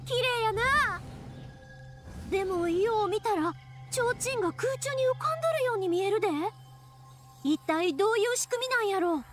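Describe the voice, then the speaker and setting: A woman's voice: very high voice, lively intonation, normal speed, pauses often. A woman playing a cheerful, curious young woman in a video game, with a friendly manner and a Kansai accent. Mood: curious, admiring. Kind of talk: Japanese video game voice acting. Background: background music.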